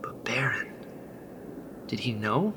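A man's voice: medium pitch, sing-song, takes it slowly, background noise. A man playing a weary, troubled rebel medic in a sci-fi radio drama, speaking in an earnest, questioning way. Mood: troubled, puzzled.

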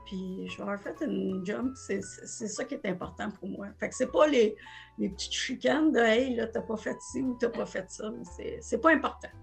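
A woman's voice: fairly high pitch, moderate pace, creaky, soft background music. A woman in her sixties with a Quebec French accent, a business co-owner talking plainly in a casual podcast conversation, often restarting her phrases. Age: 60s.

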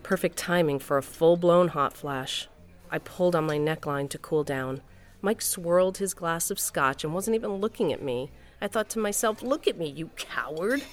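A woman's voice: on the low side, expressive, normal speed, soft background music, lightly acted. An actress playing a wry, wounded middle-aged wife and mother, telling her own story in an audio drama. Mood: hurt, wry. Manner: wry, sardonic. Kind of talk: first-person audio drama narration and dialogue.

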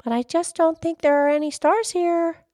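A woman's voice: very high voice, moderate pace, dark tone, no room sound, studio quality, voice pushed up. A woman voicing a polite, determined little girl in a folk tale. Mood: polite doubtful.

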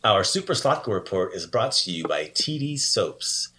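A man's voice: on the low side, sing-song, moderate pace, bright, forceful tone. A man hosting a podcast language lesson in a casual, playful and encouraging way. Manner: encouraging, praising the learner often.

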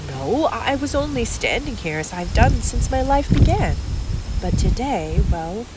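A woman's voice: fairly high pitch, sing-song, measured pace, very noisy. A woman playing an ancient oak tree newly turned human, earnest and full of wonder, in a radio play.

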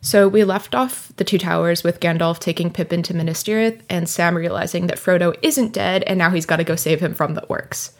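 A young woman co-hosts a casual podcast, talking in a relaxed, chatty way with plenty of "like" and "uh". Her voice sits a little low for a woman. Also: fairly quick, hardly any pauses. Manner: chatty, laughs often, filler words. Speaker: podcast co-host. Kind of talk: casual chat and book synopsis.

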